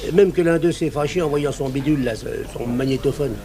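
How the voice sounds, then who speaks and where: A man's voice: a little high, fairly quick, a crowd in the background, lightly acted. An actor playing an obliging, slightly evasive male café owner, talking in a colloquial, hesitant ramble in a French radio drama. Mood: chatty, obliging.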